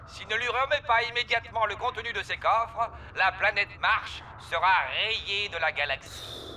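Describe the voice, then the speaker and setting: A man's voice: sits high, normal speed, sharp tone, slight background noise. A man voicing an urgent cartoon news announcer delivering a dramatic space bulletin. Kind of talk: dramatic newsflash.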